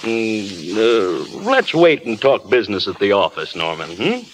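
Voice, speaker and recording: Frank, tactful male movie producer, lowish voice, vintage radio play, faint hiss. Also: very animated, unhurried. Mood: evasive. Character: tactful, frank male film studio boss. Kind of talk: 1930s radio drama acting. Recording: noisy old 1930s radio broadcast.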